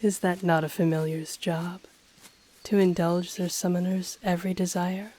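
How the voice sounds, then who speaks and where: A woman voicing an ancient dragon familiar, possessive, teasing and tender, speaking softly and formally in an intimate ASMR roleplay. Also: on the low side, level tone, natural voice. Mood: coaxing, reassuring. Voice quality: soft, breathy, often whispering. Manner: slow, formal, teasing, purring delivery.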